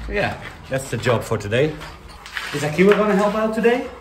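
A man's voice: high voice, a little fast, noisy background. Chatty man vlogging in an echoey room. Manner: relaxed, chatty, explaining as he works. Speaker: vlogger renovating a house. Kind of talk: casual vlog chat to camera. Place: outdoors and in a small whitewashed room. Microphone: handheld camera at arm's length.

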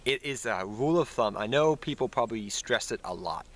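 A man's voice: medium pitch, expressive, brisk, a little hiss or hum. A young man with a cold that makes him sound nasal, chatting casually to camera outdoors in the snow about card game strategy, rambling and correcting himself. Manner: rambling, self-correcting, addresses viewers as guys. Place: outdoors in snowy woods. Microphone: built-in camera mic at close range. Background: passing cars and planes.

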